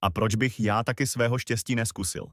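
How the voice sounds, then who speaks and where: A man's voice: medium pitch, steady tone, fairly bright tone, no echo, studio quality, close to an everyday voice. A man voicing a clever, self-assured young journeyman in a storybook fairy tale. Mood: storytelling, clever. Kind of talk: storybook voice acting.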